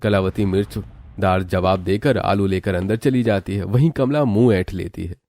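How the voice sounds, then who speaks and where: A man narrates a cartoon tale in scripted storytelling style. His voice sits low, with a dark, mellow tone. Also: normal speed.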